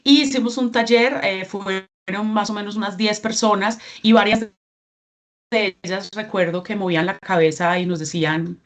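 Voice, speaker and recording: A woman in her forties with a Colombian accent, giving a measured presentation at a training workshop, with frequent filler sounds and restarts. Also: medium pitch. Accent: Colombian Spanish. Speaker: regional representative giving a training talk.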